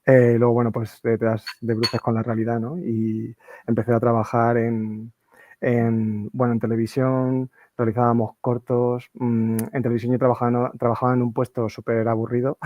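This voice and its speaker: A man in his thirties from Extremadura, speaking as a guest in a casual interview, relaxed and full of fillers and "¿no?" tag questions. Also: mid-range voice, no room sound. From Extremadura, Spain. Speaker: UX researcher, podcast guest.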